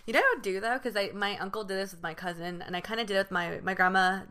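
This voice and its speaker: A woman's voice: medium pitch, racing, bright, forceful tone. A young woman chatting casually among friends as a podcast co-host, often dropping in "like" and "you know". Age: young adult.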